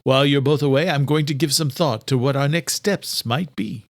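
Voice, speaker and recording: A male narrator acts a kindly old doctor for an audiobook. He is genteel and wry, chatting reassuringly in a voice pitched up from the narration, closely and dryly recorded. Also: moderate pace, no echo, clean studio sound, natural voice.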